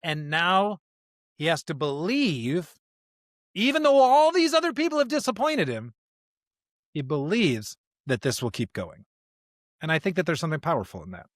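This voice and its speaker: A man's voice: fairly high pitch, big pitch swings, moderate pace, long pauses, no echo, studio quality. A man co-hosting a religious podcast in an earnest, emphatic conversational way, often checking for agreement. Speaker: podcast co-host.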